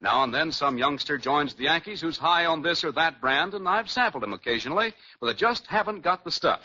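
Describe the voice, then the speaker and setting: Very bright, raspy American radio announcer. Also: male voice, fairly high pitch, lively intonation, fairly quick. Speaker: radio announcer reading sponsor message. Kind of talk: 1940s radio ad read. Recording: dull lo-fi 1940s radio recording.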